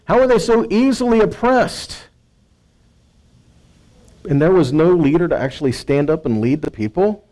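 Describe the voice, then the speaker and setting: A man's voice: on the high side, animated, moderate pace, long pauses, warm tone, poor audio. An American man preaching in a conversational teaching style, often posing rhetorical questions and checking in with his listeners. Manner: conversational, rhetorical questions, frequent check-in tags like right and okay. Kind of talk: sermon-like Bible teaching, reading verses and explaining.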